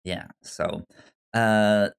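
A man co-hosting a film podcast in casual discussion, halting and stuttering, often repeating sounds and words. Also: fairly low pitch, steady tone, gravelly, clear, resonant tone. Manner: halting, hesitant delivery.

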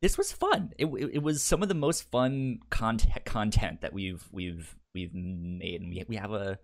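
A man co-hosting a podcast in casual chat, halting and animated, with a frequent stutter on sounds and words. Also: fairly low pitch. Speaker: podcast co-host.

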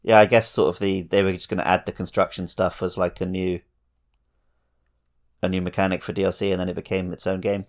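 A man's voice: low-pitched, little pitch movement, brisk. A man co-hosting a podcast, chatting casually about video games in a conversational, explanatory way. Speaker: podcast co-host.

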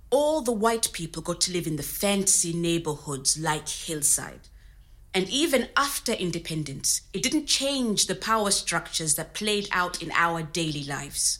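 A woman's voice: fairly low pitch, fairly bright tone. An actress playing a frank, spirited middle-aged Zimbabwean woman recounting her youth in an interview-style audio drama, with a Zimbabwean accent.

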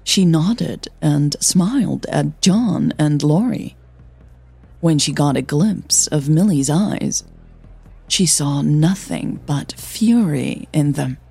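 A woman narrating audio fiction as the host, in a measured, deliberate storytelling manner. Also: sits low, lively intonation, vocal fry, warm tone, husky, faint music behind.

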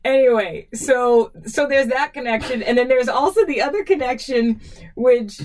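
Animated woman in her forties. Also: fairly high pitch, normal speed. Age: 40s. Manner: animated, laughs easily, exasperated. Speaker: podcast host. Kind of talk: casual podcast chat. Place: studio.

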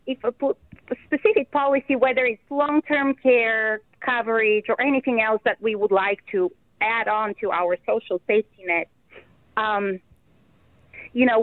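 A woman from Bulgaria, a retirement policy expert, answering interview questions in a measured, explanatory way with frequent ums and restarts, heard over a telephone line. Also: on the high side, no echo. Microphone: phone. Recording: tinny phone line.

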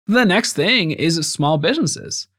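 A man's voice: sits high, lively intonation, measured pace, fairly bright tone, husky. A young adult man from Venezuela, a startup founder and podcast guest, telling his story in a reflective, lightly humorous way. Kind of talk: casual interview.

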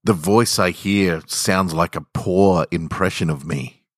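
A man's voice: low voice, slow pace, dry recording, studio quality. A man hosting a podcast and reading literary stories in a reflective, conversational way. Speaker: podcast host.

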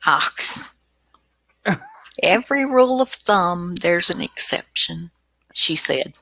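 A woman's voice: fairly low pitch, expressive, slow pace, creaky, pushed and bright, dry recording. A woman hosting a radio show in casual chat, with a folksy, teasing manner.

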